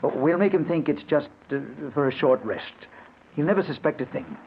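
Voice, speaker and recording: Urgent, high-voiced secret plotter. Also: male voice, animated, normal speed. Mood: urgent, pleading. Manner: earnest, insistent. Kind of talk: old-time radio drama acting. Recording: tinny old radio, faint hiss.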